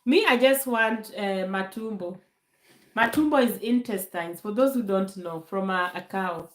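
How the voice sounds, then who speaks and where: Woman from Kenya, live Q&A host, calm and unhurried, post-cold voice, phone audio in an echoey room. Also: medium pitch. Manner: calm, slow, laughs often, many fillers. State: recovering from a bad cold. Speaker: livestream host. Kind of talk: casual live Q&A chat. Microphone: phone held to camera.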